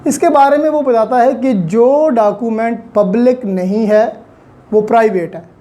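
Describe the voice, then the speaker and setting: A woman's voice: fairly high pitch, measured pace, mellow. A woman with a Pakistani accent giving an online lecture as a law teacher, explaining step by step, posing questions and answering them, with many English terms mixed in.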